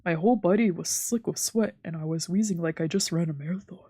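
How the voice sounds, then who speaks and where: A woman streamer reading a visual novel aloud in expressive, dramatic narration with casual asides. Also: on the low side, fast, no echo, studio quality. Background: game background music.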